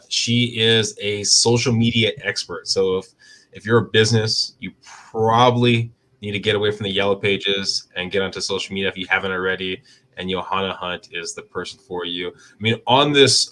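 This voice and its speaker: A man's voice: on the low side, fairly bright tone, poor audio. A man hosting a business podcast, asking loose, rambling questions full of fillers. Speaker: podcast host, realtor. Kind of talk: casual interview hosting.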